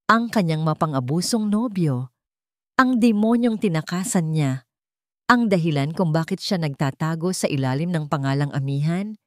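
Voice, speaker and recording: A woman narrating a scripted audio drama in a measured, suspenseful storytelling manner. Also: on the low side, darkish tone, no room sound, studio quality.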